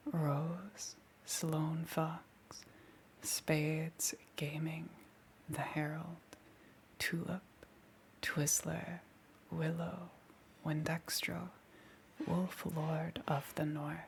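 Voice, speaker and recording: A woman ASMR creator speaking in a breathy whisper, reading out thanks to supporters. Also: low voice, slight background noise.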